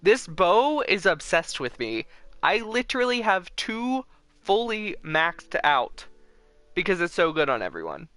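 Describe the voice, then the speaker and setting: A man chatting casually and excitably to viewers as the host of a gaming livestream. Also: sits high, a little slow, no room sound.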